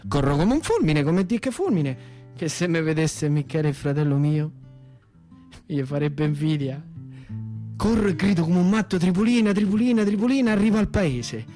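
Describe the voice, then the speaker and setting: Man acting a high-voiced, excitable Lazio country lad. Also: moderate pace, faint music behind, lightly acted. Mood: frantic. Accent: central Italian, Lazio. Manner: colloquial, breathless, repetitive, wide-eyed. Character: naive, lame Italian country youth recalling wartime. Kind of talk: theatrical radio monologue storytelling.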